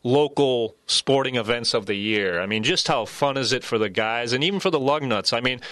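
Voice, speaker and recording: A man's voice: mid-range voice, brisk, fairly bright tone, no room sound. An American man hosting a sports discussion, talking casually and putting questions to his panel. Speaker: sports talk show host.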